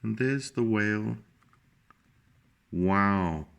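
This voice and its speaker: A man with a fairly low voice reads a picture book to young children, taking his time over every line. He speaks gently and stops often to show and explain the pictures. His delivery is unhurried and patient. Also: gravelly. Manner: slow, gentle, pauses to point out pictures. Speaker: teacher reading a picture book. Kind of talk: children's storybook reading.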